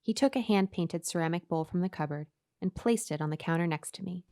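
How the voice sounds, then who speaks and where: An actress playing an uneasy, obedient woman who tells her eerie story in a measured way in a radio horror play. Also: on the low side, dark tone, dry recording, natural voice. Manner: measured, first-person storytelling.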